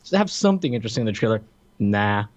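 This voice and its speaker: A man's voice: fairly low pitch, sing-song, fast talker, a little mellow, no echo. A man co-hosting a podcast, giving casual, slangy movie commentary in a conversational way. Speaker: podcast co-host. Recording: dry, slightly dull lo-fi mic.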